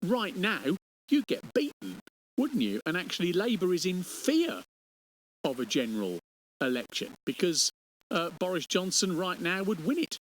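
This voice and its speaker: A man's voice: high-pitched, very animated, normal speed, low-quality recording. A man interviewing on radio about politics, challenging his guest with hesitant phrasing and tag questions.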